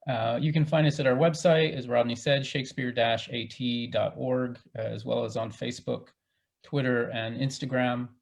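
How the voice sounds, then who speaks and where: A man's voice: mid-range voice, steady tone, normal speed, gravelly. A man chatting casually as a panelist on a video call. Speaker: artistic director on a discussion panel. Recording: slightly dull video call audio.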